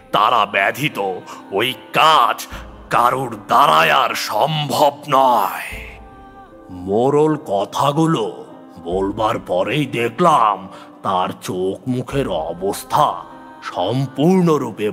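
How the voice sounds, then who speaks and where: A man's voice: medium pitch, sharp tone. A man narrating a scripted horror story in a theatrical, suspenseful way, leaning into dramatic emphasis. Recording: long reverb, music under the voice. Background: background music.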